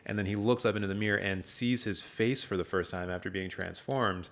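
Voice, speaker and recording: A man's voice: deep voice, brisk, a bit bright. A man co-hosting a film podcast, recapping casually with a dry, ironic sense of humour.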